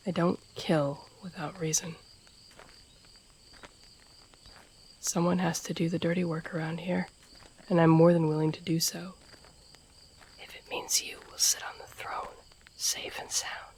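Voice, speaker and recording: A woman's voice: lowish voice, level tone, moderate pace, a little mellow, slight background noise, lightly acted. A woman playing a guarded, deadly royal assassin and devoted protector in a fantasy audio roleplay, stern and formal, often dropping toward a whisper. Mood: weary, resolute. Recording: outdoor night ambience with crickets behind. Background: crickets and night nature ambience.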